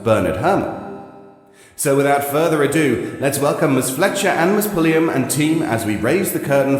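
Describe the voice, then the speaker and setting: A man hosting, giving a formal, courteous scripted stage introduction like a master of ceremonies. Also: medium pitch. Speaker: host. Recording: very echoey hall, noise, faint crowd.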